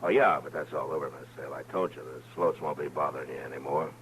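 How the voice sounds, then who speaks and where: A male actor with an American accent playing a steady, courteous Old West lawman in a radio western, speaking in a measured, polite way. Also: extremely low pitch, creaky, exaggerated voice. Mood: puzzled, reassuring. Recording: noisy vintage radio recording with hum.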